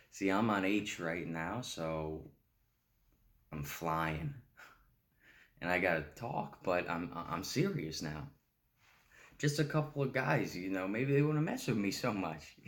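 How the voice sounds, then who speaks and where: A frightened, childlike junkie from the streets, played by a man, rambles through a stage monologue in a low voice. He stammers, doubles back on his words and pleads to be understood. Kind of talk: dramatic stage monologue performance.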